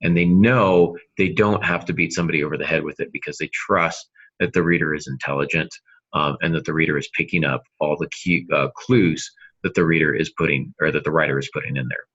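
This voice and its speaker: A middle-aged man co-hosting a casual podcast discussion on writing, speaking in an earnest, emphatic way with dramatic pauses. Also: extremely low pitch, steady tone, fairly bright tone, breathy edge, dull audio. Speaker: podcast co-host and novelist.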